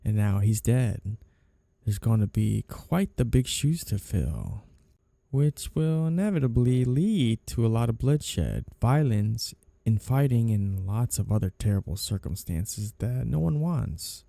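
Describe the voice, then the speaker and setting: A man playing a flirty, two-faced, smug mafia boss in a scripted roleplay audio drama, teasing and drawing out his phrases theatrically in a gravelly voice. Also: lowish voice, mellow, no echo.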